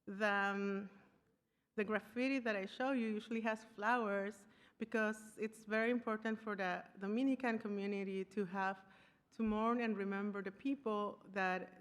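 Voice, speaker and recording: A woman's voice: medium pitch, fairly even intonation, moderate pace. Woman from costa rica giving a talk, restarts a lot, hunts for words, echoey hall sound. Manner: searching for words, frequent restarts and fillers. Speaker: conference speaker. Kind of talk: conference presentation. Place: conference room. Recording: live talk with long hall reverb.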